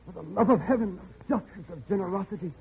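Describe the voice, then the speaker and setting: A man's voice: high-pitched, quick pace, gravelly, slight background noise. An actor playing a desperate, fervent captive man with intense, theatrical delivery, in the manner of 1940s radio. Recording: tinny old radio broadcast with music.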